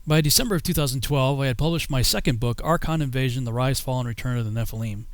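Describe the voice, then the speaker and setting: A man with an American accent narrates his own story in a scripted documentary style. His mid-pitched voice sits in a close, dry recording with no room sound. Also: fast talker, no room sound. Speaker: filmmaker and author narrating his own journey.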